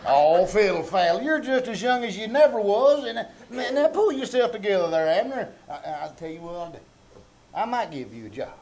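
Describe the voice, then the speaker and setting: A man's voice: high voice, animated, fairly quick, darkish tone. A man playing a bossy, self-important country storekeeper with a Southern US accent in an old-time radio comedy on a live stage. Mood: coaxing, folksy. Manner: pompous, rustic phrasing. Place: small-town theater stage before live audience. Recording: live theater stage with background noise.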